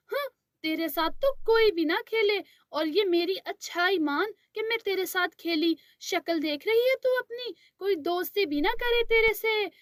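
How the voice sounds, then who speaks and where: A woman's voice: extremely high pitch, no echo, clean studio sound. A woman voicing a spiteful young girl in a cartoon story, taunting in a sing-song way.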